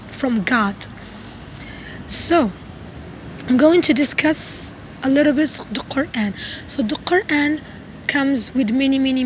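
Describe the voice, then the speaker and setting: A woman who is not a native English speaker, giving an informal explanatory talk to viewers in a halting, self-correcting way. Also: high voice, a little hiss or hum, low-quality recording. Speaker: video creator giving a religious talk.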